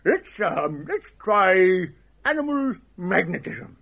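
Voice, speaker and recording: An actor playing a dithering, eccentric psychoanalyst who stammers and stalls in a comedy radio play. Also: male voice, high-pitched, sing-song, creaky, a bit bright, full character voice. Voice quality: stammering, halting.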